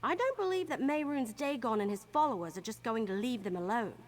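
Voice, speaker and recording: An actress playing an eager, earnest young adventurer in a video game, with a bubbly, youthful delivery. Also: female voice, fairly high pitch, expressive, brisk, close to an everyday voice. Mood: earnest, wondering. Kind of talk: video game character dialogue.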